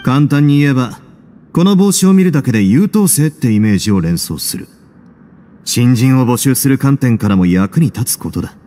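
Man playing cool, mellow-voiced wry duke. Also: mid-range voice, lively intonation, normal speed, lightly acted. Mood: composed. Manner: dry, casual, teasing. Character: cool, wry adult duke, self-assured prison overseer. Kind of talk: Japanese anime game voice acting.

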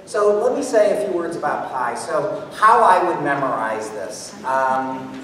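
A middle-aged American man giving a live talk on stage and taking audience questions, animated and jokey as he thinks aloud. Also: mid-range voice, unhurried. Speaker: guest speaker, mathematician performer. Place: theatre stage, indoors. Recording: echoey hall from far off, noisy.

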